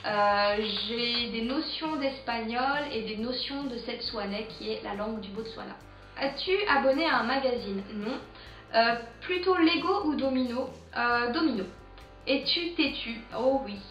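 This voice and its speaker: A woman's voice: fairly high pitch, a little slow, fairly bright tone. A young French woman answering a list of questions in a casual, chatty way, full of hesitant fillers. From France. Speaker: vlogger. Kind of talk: casual Q&A tag video. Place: home in England. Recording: roomy, distant, dull sound with music.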